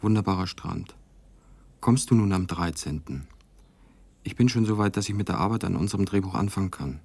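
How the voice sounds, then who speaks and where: Low, husky, reserved American writer. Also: male voice, a little fast, darkish tone, no room sound, full character voice. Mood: wistful. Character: reserved, lonely American male writer abroad. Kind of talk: radio play acting.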